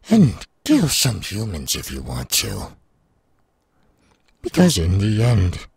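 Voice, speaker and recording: A man playing a menacing, sadistic demon villain in an anime audio roleplay, taunting in a raspy, gravelly voice. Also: low voice, dry recording, clean studio sound, full character voice. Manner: slow, taunting, drawn-out. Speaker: voice actor.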